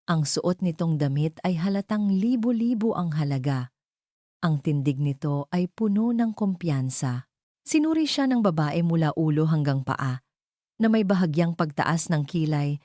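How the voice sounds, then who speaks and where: A woman's voice: lowish voice, expressive, normal speed, dark tone, no room sound, studio quality. A woman with a Filipino accent reading a scripted dramatic story as narrator.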